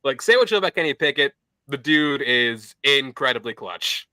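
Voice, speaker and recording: A man co-hosting a casual sports podcast, animated and joking, often correcting himself mid-sentence. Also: mid-range voice, normal speed, clean tone, no room sound. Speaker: podcast co-host.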